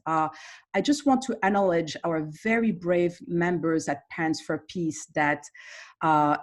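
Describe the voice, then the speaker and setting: A woman's voice: low voice, a little mellow. A woman from Morocco, a therapist, speaking earnestly on an online panel, hesitant with many fillers. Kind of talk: panel talk on a video call. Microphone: video call.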